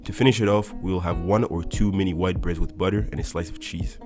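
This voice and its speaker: A man's voice: low-pitched, fairly even intonation, fast. A young man, an airline pilot, narrating a scripted voice-over in an upbeat, explanatory way to his viewers. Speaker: airline pilot and video host. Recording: voice-over with loud background music.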